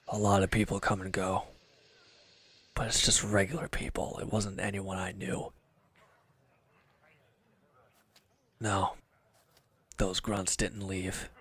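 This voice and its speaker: A man's voice: fairly low pitch, a bit bright, breathy edge. A man acting in an audio roleplay as a sullen, defensive wounded villain, bitter and withdrawn, speaking in curt, grudging, evasive phrases. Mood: irritated, weary.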